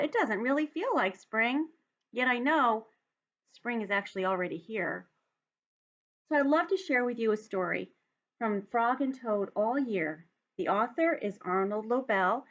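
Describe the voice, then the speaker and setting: A woman speaking as a teacher at storytime, addressing young children directly with expressive, careful delivery. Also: a little high, moderate pace. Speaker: teacher reading a story to students. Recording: dull, lo-fi home recording.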